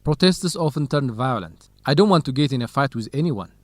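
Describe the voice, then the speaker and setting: A man's voice: mid-range voice, expressive, brisk, no echo, lightly acted. A man playing a cautious, good-natured young graduate student in an educational radio drama. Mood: cautious, worried.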